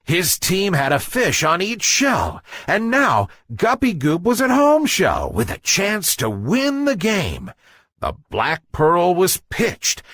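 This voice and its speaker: A man's voice: high voice, fairly bright tone, dry recording, clean studio sound. A man narrating a children's bedtime story expressively, building suspense in a dramatic way.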